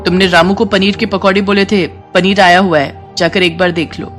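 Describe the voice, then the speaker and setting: A woman acts out a rich, haughty housewife in a children's moral story. She is curt, bossy and quick to scold, snapping out orders impatiently. Music plays behind the voice. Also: fairly low pitch, flat delivery, lightly acted.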